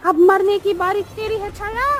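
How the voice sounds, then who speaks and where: Woman acting a shrill, menacing witch. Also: steady tone, normal speed, heavy background noise. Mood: cruel, threatening. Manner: menacing, threatening. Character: fierce murderous witch spirit from a cursed board game. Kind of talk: horror cartoon story acting.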